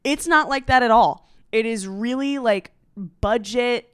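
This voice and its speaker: A woman's voice: on the high side, unhurried, smooth, no echo, studio quality. A young American woman, a comedian, telling a story on a podcast in a casual, slangy, sarcastic way with dramatic emphasis.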